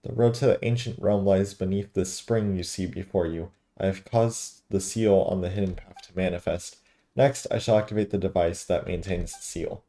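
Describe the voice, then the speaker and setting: Man voicing a low, pompous talking cat. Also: fairly even intonation, normal speed, dark tone. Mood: solemn, grand. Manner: formal, archaic, grandiose wording. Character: pompous talking cat guide, male, cryptic and grand.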